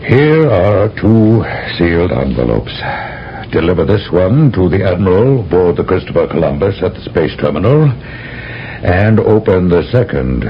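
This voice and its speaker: An actor playing a grave, wry military general who gives measured orders in a 1970s radio drama. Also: male voice, low-pitched, very animated, exaggerated voice. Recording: dull old radio recording with faint noise.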